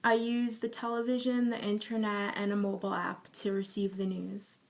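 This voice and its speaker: A woman in her early twenties answering interview questions in a measured, explanatory way. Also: medium pitch, flat delivery. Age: 20s. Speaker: survey respondent.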